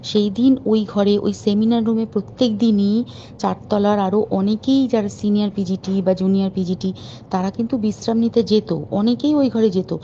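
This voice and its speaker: A woman's voice: mid-range voice, steady tone, normal speed, dark tone, slight background noise. A woman giving an emphatic, indignant, informal account as a caller on a recorded phone call.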